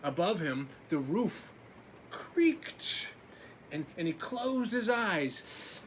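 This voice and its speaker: A man reads a picture book to young children in an animated, slow delivery with dramatic pauses. His voice swings widely in pitch, and faint noise runs behind it. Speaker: children's story reader, teacher. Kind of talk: expressive read-aloud for young children.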